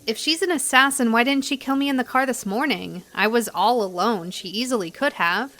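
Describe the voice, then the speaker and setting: A questioning young woman in an audio drama. Her voice is on the higher side, and she sounds bewildered and keen for answers. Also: fairly quick, sharp tone.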